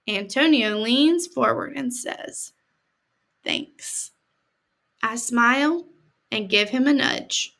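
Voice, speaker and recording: Female storyteller, American, high-pitched, unhurried and expressive, drawing words out for young listeners. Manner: dramatic emphasis, drawn-out words, informal asides to listeners. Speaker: read-aloud storyteller, teacher-like host. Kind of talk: expressive picture-book read-aloud with chatty asides.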